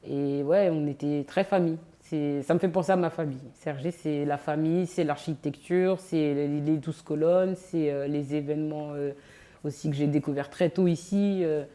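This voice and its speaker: A woman in her thirties from France, guest in a casual interview, talking in a relaxed, hesitant way with many fillers. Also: low voice, normal speed. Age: 30s. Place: on location in a sports venue.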